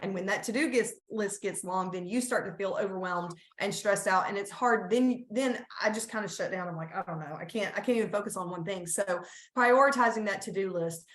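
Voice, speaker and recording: A woman's voice: mid-range voice, a little fast, hardly any pauses, a bit bright, roomy sound. A woman speaking to teachers at a conference over a video call, earnest and encouraging, often restarting her sentences. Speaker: school staff presenter.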